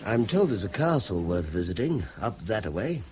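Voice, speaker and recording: A mild, courteous Englishman in a radio drama. His voice is fairly low with lively intonation, slightly hesitant, over faint scene noise. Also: moderate pace. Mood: relaxed, genial. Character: polite, mild-mannered middle-class Englishman, former soldier. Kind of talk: British radio drama acting.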